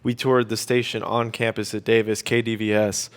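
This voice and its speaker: A man's voice: on the low side, flat delivery, moderate pace, clean tone. A young man casually interviewing classmates as the host of a student radio Q&A. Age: young adult.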